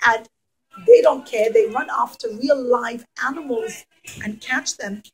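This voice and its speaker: High-voiced woman telling stories to children. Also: a little slow, breathy edge, rough recording. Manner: emphatic, repeats phrases, asks the children questions. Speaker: children's storyteller and teacher. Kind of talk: interactive puppet-show storytelling for young children.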